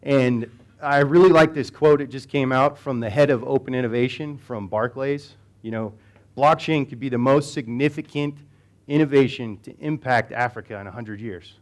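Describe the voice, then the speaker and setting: A man's voice: medium pitch, moderate pace. Chatty American man giving a conference talk. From United States. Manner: conversational, with many fillers and restarts. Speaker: conference speaker. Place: conference hall.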